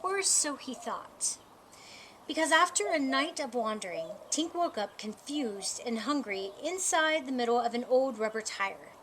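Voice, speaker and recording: A woman reading a children's picture book aloud as a storyteller, with an expressive, deliberate storytime delivery. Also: high-pitched, slight background noise, low-quality recording. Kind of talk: scripted children's story narration.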